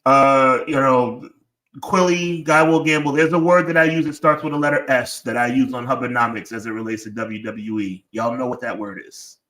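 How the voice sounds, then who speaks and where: A man's voice: mid-range voice, steady tone, moderate pace, fairly bright tone, rough recording. A man co-hosting a live wrestling podcast, chatting in slangy, rambling banter. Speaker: podcast co-host. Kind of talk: casual live-stream chat.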